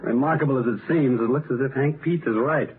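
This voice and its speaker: Actor as a young detective on crackly old radio. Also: male voice, mid-range voice, natural voice. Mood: grave, intent. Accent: American. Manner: deliberate, articulate, deductive. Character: calm, analytical young male amateur detective. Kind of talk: 1930s radio mystery acting. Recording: narrow, noisy 1930s radio broadcast.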